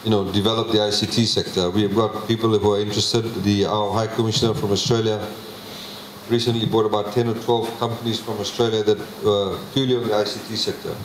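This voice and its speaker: A man, presenting a budget to a public meeting in a measured way, with many pauses and fillers. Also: lowish voice, flat delivery, a little hiss or hum. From Fiji. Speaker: government minister presenting a budget. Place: public consultation meeting hall. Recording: echoey hall, faint ambience.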